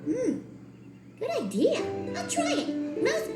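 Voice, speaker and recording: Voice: very high voice, huge pitch swings, slow, heavily acted. An actor voicing a small, fussy, set-in-its-ways animal in a children's cartoon, speaking emphatically. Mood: agreeable. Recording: roomy sound, loud music underneath.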